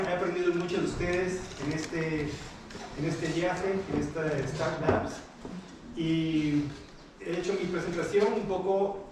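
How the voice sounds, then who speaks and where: Man, 40s, from Guatemala, guest speaker; a higher voice, hesitant, picked up from afar in a reverberant room. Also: distant mic, noisy background. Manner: hesitant, many fillers and restarts, friendly. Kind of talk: informal talk to students. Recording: echoey hall, picked up from afar.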